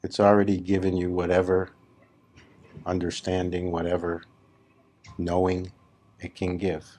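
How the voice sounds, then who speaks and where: A man leading a guided meditation as a spiritual teacher, speaking deliberately in short phrases with long pauses between them. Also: sits low, monotone, a little mellow. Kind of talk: slow guided meditation talk.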